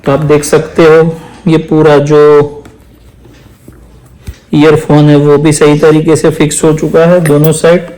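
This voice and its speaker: Man, slightly high pitch, mellow tone, casual step-by-step tutorial, roomy echo. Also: completely flat delivery, unhurried, long pauses. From India. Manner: explains step by step while working. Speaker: moto vlogger giving a setup tutorial. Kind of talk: casual hands-on tutorial in Hindi mixed with English phrases.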